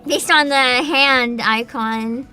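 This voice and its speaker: A woman hosting a livestream, chatting casually and reacting in an animated, exclamatory way with frequent swearing. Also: sits high, slow, faint music behind. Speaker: livestream host, VTuber.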